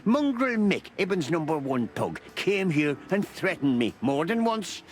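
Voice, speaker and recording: A man's voice: on the high side, very animated, a little slow, soft background music. A man playing a jovial old beaver who sells newspapers in a noir adventure game, folksy and avuncular with a chuckling manner. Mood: defiant, proud.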